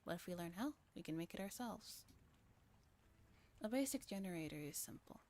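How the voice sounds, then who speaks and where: A woman's voice: on the low side, lively intonation, normal speed, darkish tone, natural voice. Lady reading as a chill practical teen boy, explains stuff plainly, visual novel character. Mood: explaining.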